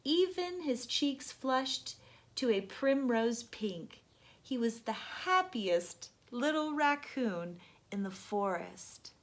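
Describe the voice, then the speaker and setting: A woman reading a children's storybook aloud in a gentle, deliberate, expressive narration. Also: a little high.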